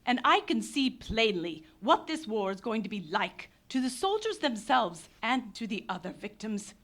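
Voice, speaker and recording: A woman's voice: fairly high pitch, big pitch swings, normal speed, a bit bright, full character voice. An actress in a live radio play playing an impassioned young pacifist Englishwoman who speaks in declamatory bursts. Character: passionate pacifist young Englishwoman, fiery idealist.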